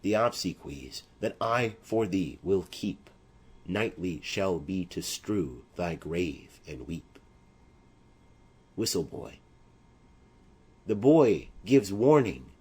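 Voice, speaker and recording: A proud young nobleman of classical tragedy, voiced by a male reader, mournful and righteous. The delivery is formal, slow verse declamation in a husky voice. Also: on the low side, a little mellow, heavily acted. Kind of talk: classical tragedy audiobook acting.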